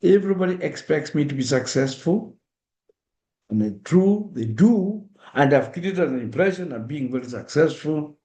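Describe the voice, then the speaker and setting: A man's voice: on the high side, expressive, moderate pace, warm tone. Kenyan man speaking in an echoey room. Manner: earnest and direct, acts out examples in first person. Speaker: speaker at a diaspora awareness event. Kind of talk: public talk.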